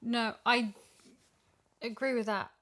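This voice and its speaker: A woman's voice: a little high, steady tone, takes it slowly, a bit bright. A woman chatting casually as a voice actor about her work, reflective and working through her ideas as she speaks. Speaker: voice actor discussing her character. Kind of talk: casual discussion.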